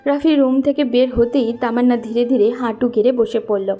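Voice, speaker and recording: A woman's voice: on the high side, fairly even intonation, moderate pace, dark tone, faint music behind. A woman narrating a scripted romantic story.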